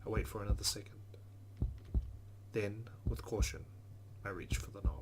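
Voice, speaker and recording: A man's voice: on the low side, little pitch movement, moderate pace, whispered. A man reading a visual novel's first-person narration aloud as a let's player. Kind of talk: dramatic narration of on-screen game text. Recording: noticeable background noise.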